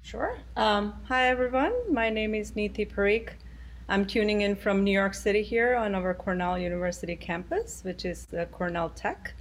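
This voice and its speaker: A woman's voice: medium pitch, normal speed. A middle-aged woman from India, answering interview questions thoughtfully over a video call with frequent ums. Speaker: guest.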